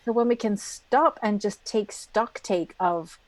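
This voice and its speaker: A middle-aged woman speaking as a guest in a live online talk, lively and earnest, laughing often. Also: medium pitch, measured pace, low-quality recording.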